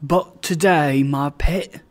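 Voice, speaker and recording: A narrator voicing a doting, excitable old working-class father in an audiobook, exclaiming and repeating himself exuberantly. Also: mid-range voice, takes it slowly, lightly acted. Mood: gently probing.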